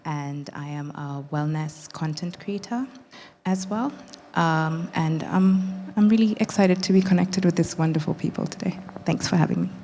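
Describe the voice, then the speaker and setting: A woman from Kenya introducing herself as a panelist, excited and friendly. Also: fairly low pitch, normal speed, faint music behind.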